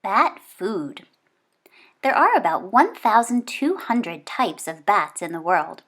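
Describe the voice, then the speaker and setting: Woman, children's book read-aloud, slow and deliberate, lively intonation, clearly phrased. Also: medium pitch. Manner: expressive, clearly phrased delivery for young children.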